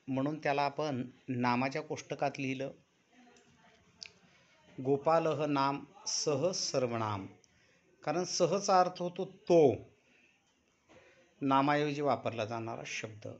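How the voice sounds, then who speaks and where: Marathi guy teaching sanskrit online, takes his time, says things carefully, kinda muffled call sound. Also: on the high side. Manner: instructive, enunciates deliberately, prompts students with questions. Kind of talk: online class instruction with chanted verse recitation. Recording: dull, muffled online class audio.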